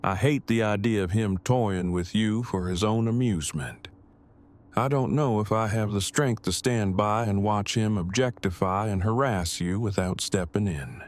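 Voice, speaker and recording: A man playing an earnest survivor in an audio drama, in a lowish voice. He words everything stiffly and formally, sounding worried and measured. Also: lightly acted. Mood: troubled, earnest. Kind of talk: full-cast fan audio drama acting.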